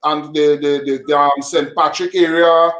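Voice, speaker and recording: A man's voice: fairly high pitch, little pitch movement, moderate pace, fairly bright tone, dull audio, a little hiss or hum. A man speaking as a guest on a talk show, rambling with many fillers. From Grenada. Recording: roomy echo.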